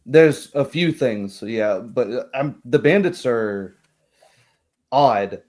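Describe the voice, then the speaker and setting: A man voicing a friendly, greying small-town deputy who talks in a halting, modest, folksy way. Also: mid-range voice, expressive, a little mellow. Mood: uneasy, explaining.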